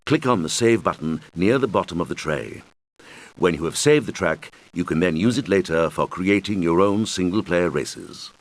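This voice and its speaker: A man's voice: deep voice, animated, normal speed. A man voicing a helpful video game guide who gives instructions to young players. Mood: instructive. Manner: instructional, clearly addressed to children.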